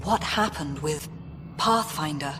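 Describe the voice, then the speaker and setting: An actress playing a weary, irritable colony official in a video game, with a curt, sardonic, exasperated manner. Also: female voice, low voice, lively intonation, slow. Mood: irritated, weary. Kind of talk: video game dialogue acting. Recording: long echoing reverb, noisy ambience.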